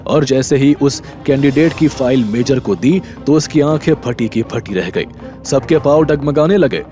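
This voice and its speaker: A man's voice: mid-range voice, unhurried, warm tone, soft background music. A man narrating a scripted audio drama in a dramatic storytelling style.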